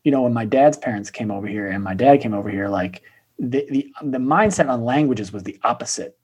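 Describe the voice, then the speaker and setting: A man with a slightly husky voice, talking loosely on a podcast. He rambles and circles back, full of fillers and restarts, at a middling pitch. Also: animated, brisk, darkish tone. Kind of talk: casual chat.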